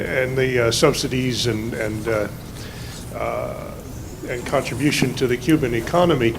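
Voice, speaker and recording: A man's voice: medium pitch, gravelly, slight background noise. A man in the audience at a conference panel, asking a long question politely and hesitantly. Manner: hesitant, full of "uh" fillers, polite. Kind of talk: question from the floor at an academic panel. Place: conference hall.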